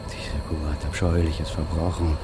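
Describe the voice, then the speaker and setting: An actor playing evil incarnate in a German radio play, a taunting spirit who speaks in a solemn, insinuating, menacing way. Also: male voice, extremely low pitch, level tone, moderate pace, heavy background noise. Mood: accusing.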